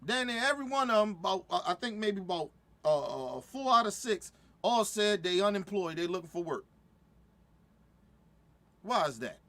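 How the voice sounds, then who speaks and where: A man's voice: sits high, big pitch swings, moderate pace, pauses often, bright, forceful tone. A middle-aged man giving heated, profane reaction commentary, repeating himself and restarting his sentences as he goes. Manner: heated, repetitive, stumbling restarts.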